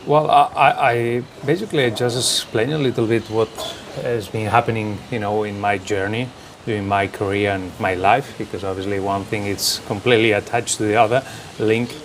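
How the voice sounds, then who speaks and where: A fairly low-voiced man, answering questions earnestly in slightly broken English. He often restarts his sentences. An air conditioner hums clearly behind him. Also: steady tone, moderate pace. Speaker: national team assistant coach, guest. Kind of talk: interview answers. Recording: background noise from air conditioning.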